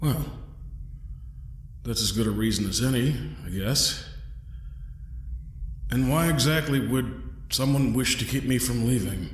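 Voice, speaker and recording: A man playing a trapped young adventurer in an audio drama, dry and sardonic, with halting, defiant delivery. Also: medium pitch, echoey room, a little hiss or hum, close to an everyday voice. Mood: wry, probing.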